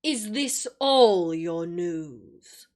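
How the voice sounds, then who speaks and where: A woman's voice: lowish voice, lively intonation, very slow, voice pushed down. A woman voicing a cruel, imperious witch queen who speaks in a cold, terrible, commanding voice.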